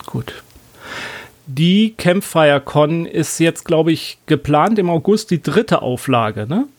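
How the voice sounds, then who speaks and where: A man's voice: on the high side, expressive, takes it slowly, no echo. Chatty man hosting a podcast, dry sound. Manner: chatty, hesitant with many fillers. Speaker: podcast host. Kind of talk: casual podcast conversation.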